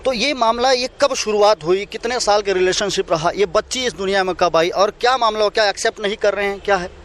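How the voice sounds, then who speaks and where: A man with a distinctly high voice conducts a spontaneous interview. His questioning is direct, and faint city noise sits behind him outdoors. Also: brisk, fairly bright tone.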